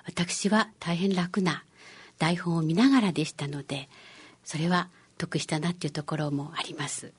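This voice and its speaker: A middle-aged or older woman guest giving polite interview answers in a husky voice. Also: on the low side, darkish tone. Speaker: amateur narrator, programme guest.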